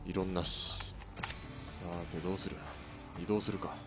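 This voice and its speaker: A man playing a gruff, resolute sniper in a radio drama, speaking tersely and grimly. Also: steady tone, a little slow, loud music behind the voice. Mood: tense. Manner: terse, grim. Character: resolute, gruff male sniper in Taisho-era Japan. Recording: faint rumbling background noise.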